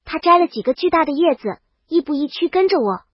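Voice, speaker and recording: A woman's voice: sits high, expressive, quick pace, no echo, lo-fi sound. A woman reading scripted first-person audiobook narration as the storyteller.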